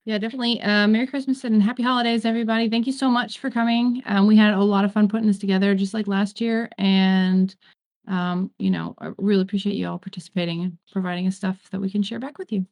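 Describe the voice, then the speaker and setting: A woman's voice: medium pitch, fairly even intonation, fast, a little mellow. A woman co-organizing an online event, giving casual thanks and farewells to those who came.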